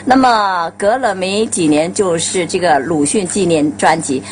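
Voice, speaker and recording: A woman's voice: lowish voice, unhurried, a little hiss or hum. An older woman chatting casually and animatedly, repeating phrases for emphasis as she reminisces on a panel. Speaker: radio drama veteran leading a panel reminiscence. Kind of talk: casual chat.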